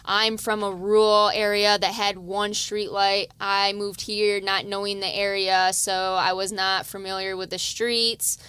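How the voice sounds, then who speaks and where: A woman in her thirties, a police officer from West Virginia, talks in a light, youthful voice with a bright, smooth ring. She speaks softly, with many fillers, and is recorded close and dry. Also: mid-range voice, level tone, measured pace, few pauses, no echo. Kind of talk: casual interview.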